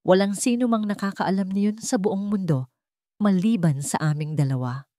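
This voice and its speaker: A woman voicing a calm but weighty old patriarch, a wounded grandfather in an audio drama story. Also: mid-range voice, moderate pace, dry recording, clean studio sound, voice pushed up, close to an everyday voice. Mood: stern.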